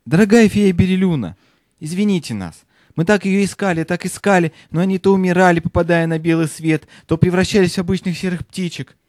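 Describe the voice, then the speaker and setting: A man playing an earnest, excitable young boy in an audio fairy-tale play, speaking insistently. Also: high voice, brisk, no room sound, natural voice. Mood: apologetic, pleading.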